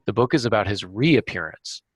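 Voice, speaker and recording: A man hosting a writing podcast, explaining things casually in a halting way and often correcting himself. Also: fairly low pitch, animated, husky, dry recording. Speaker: podcast host and novelist. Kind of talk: casual craft discussion with examples.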